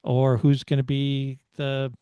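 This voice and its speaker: A middle-aged American man co-hosting a motorsport podcast, talking through his analysis in a casual, measured way as he thinks aloud. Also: medium pitch, monotone, dark tone, clean tone, no room sound. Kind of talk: casual race analysis chat.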